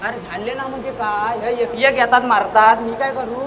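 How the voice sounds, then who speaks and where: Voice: mid-range voice, steady tone, normal speed, crowd noise, close to an everyday voice. A performer playing an irritable, blustering village man who scolds and threatens in a rustic school stage comedy. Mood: exasperated. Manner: scolding, combative, exclaiming. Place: live stage at a school event. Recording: noisy live stage recording.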